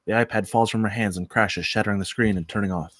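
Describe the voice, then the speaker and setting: A man's voice: on the low side, fairly even intonation, fast talker, a bit bright, no echo, clean studio sound. A man narrating scene headings and action from a film script at a table read. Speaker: narrator of stage directions.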